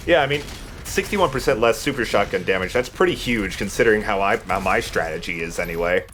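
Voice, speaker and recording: A man's voice: on the low side, lively intonation, a little fast, fairly bright tone, a little hiss or hum. A man giving casual gameplay commentary as the host who is playing. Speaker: let's play host, playing the game. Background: video game music.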